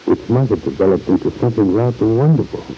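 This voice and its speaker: An actor playing an earnest, middle-aged fatherly uncle in an old-time radio drama, gravely reproving in measured, formal phrases. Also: on the low side, lively intonation, warm tone, a little hiss or hum, poor audio. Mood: grave, concerned. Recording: vintage radio recording.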